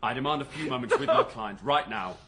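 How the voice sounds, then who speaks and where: A man's voice: medium pitch, wildly swooping pitch, a little fast, bright, forceful tone, slight background noise. An actor playing a curt, formal solicitor protecting his client in a radio drama. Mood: indignant. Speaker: suspect's solicitor.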